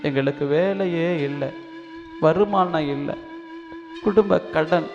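A man leading a spoken prayer as a priest, pleading fervently, swinging between loud appeals and hushed sorrowful passages. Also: on the high side, takes it slowly, muffled recording. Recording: long reverb with faint music behind.

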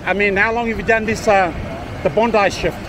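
A man's voice: high voice, steady tone, fairly quick, noisy background. A man chatting casually and slangily to viewers and passers-by as a friendly vlogger outdoors. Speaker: vlogger and street interviewer. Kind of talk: casual vlog chat and street interviews. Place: outdoors at a busy beach promenade.

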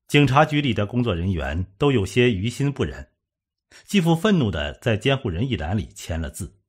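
Husky, low-voiced male audiobook narrator. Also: sing-song, moderate pace. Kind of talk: scripted suspense audiobook narration.

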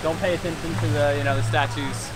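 A man's voice: a little high, fairly even intonation, fast. A young man in his twenties joking and rambling casually on a travel vlog, from a car and a harbor. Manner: joking, goofy banter, loose and rambling. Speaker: vlogger. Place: outdoors by a harbor and in a moving car. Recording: loud traffic and car noise behind.

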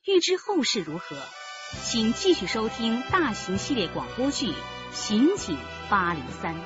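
A woman giving deliberate, suspenseful scripted narration for a radio serial. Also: on the high side, very animated, muffled recording, music in the background.

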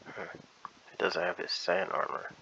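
A man's voice: a little slow, sharp tone. A man hosting a gaming video with casual commentary, often musing to himself as he plays. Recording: muffled lo-fi mic with faint noise.

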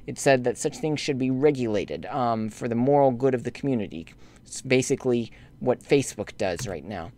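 A man delivering a lecture voice-over over slides, scolding church leaders in a rebuking tone that climbs sharply when indignant, and turning measured when explaining history. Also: mid-range voice, lively intonation, a little mellow, slight background noise. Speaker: lay commentator addressing bishops.

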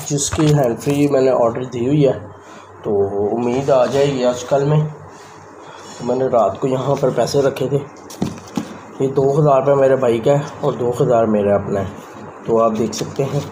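A young man chats loosely to his phone camera. He talks in a mid-pitched voice. The phone recording is noisy, with outdoor sounds in the background. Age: young adult. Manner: rambling, conversational, talks straight to the camera. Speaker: vlogger. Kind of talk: casual vlog chat. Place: rooftop outdoors, then a small room indoors. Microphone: handheld phone camera at arm's length.

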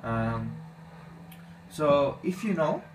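A man's voice: on the high side, lively intonation, music in the background. Armenian man in a roomy, echoey space. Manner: halting, non-native English with many fillers. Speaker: gaming channel host. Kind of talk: casual gameplay commentary. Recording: echoey room, distant mic, faint noise.

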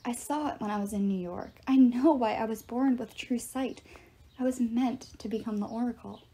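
A woman voicing an earnest, resolute teenage girl oracle in an audiobook, solemn, measured and soft-spoken. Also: fairly high pitch, breathy edge. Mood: weak, earnest.

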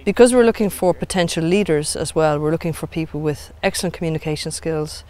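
A woman's voice: low-pitched, quick pace, darkish tone. A middle-aged woman, a programme manager, explaining in a composed interview outdoors on a grassy field. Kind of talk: interview answers.

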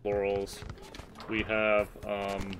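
A man casually answering livestream questions as a company representative, in a measured, hesitant, noncommittal way. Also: lowish voice, monotone, background noise. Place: indoors at a desk, streaming webcam. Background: music.

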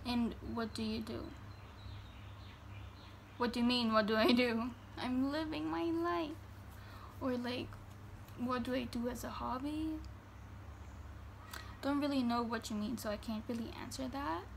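Young woman chatting slowly into her phone. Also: fairly high pitch, fairly even intonation, creaky, slight background noise. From Germany. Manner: chatty, filler-heavy, reads questions slowly. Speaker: vlogger. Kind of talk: casual Q&A chat. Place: bedroom indoors. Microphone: phone camera at arm's length.

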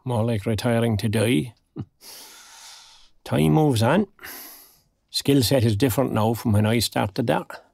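A recently retired Irish man in his sixties, lonely but wry. His gravelly voice stays understated and wistful, as in an intimate audio drama. The sound is dry, with no room around it. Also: mid-range voice, unhurried, long pauses, darkish tone, no room sound. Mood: wistful, resigned. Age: 60s. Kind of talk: naturalistic audio drama acting.

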